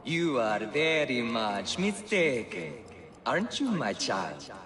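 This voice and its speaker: Sinister hypnotist, swooping delivery. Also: male voice, mid-range voice, very animated, slight background noise. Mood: coaxing, sinister. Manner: slow, insinuating, commanding. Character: sinister, coaxing male stage hypnotist. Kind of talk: game cutscene dialogue. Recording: loud music behind the voice.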